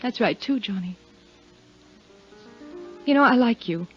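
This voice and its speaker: An actress in her twenties playing a lonely young widow in a 1950s radio drama, speaking in a soft, hesitant, confiding way. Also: a little high, expressive, measured pace, soft background music. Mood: wistful. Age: 20s. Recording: muffled 1950s radio broadcast.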